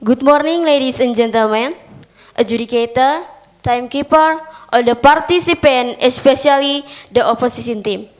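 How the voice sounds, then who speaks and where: High-pitched teenage woman, Indonesian accent, formal measured delivery, prepared speech. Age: teens. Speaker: government whip, student debater.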